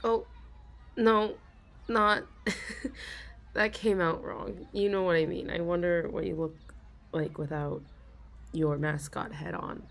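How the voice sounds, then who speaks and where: A young woman in an ASMR role-play, confiding softly to a listener, giggling often and halting with restarts. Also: lowish voice, steady tone, vocal fry, a little hiss or hum. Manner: soft, giggly, self-conscious, halting with many restarts.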